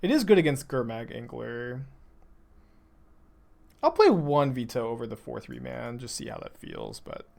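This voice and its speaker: Dry gravelly-voiced young man. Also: medium pitch, huge pitch swings, moderate pace, long pauses, a little mellow. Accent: urban Texan, mild. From Texas, USA. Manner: dry, sarcastic, thinking aloud with frequent restarts. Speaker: streamer playing a card game. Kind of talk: casual commentary over gameplay, chatting with viewers.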